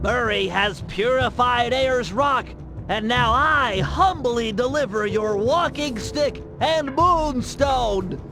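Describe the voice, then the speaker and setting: A man's voice: extremely high pitch, slow, full character voice. A man voicing a big, childlike, eager hippo strongman with a theatrical, grandiose delivery in a cartoon video game. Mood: grandiose, reverent. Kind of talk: animated video game dialogue. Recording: loud music behind.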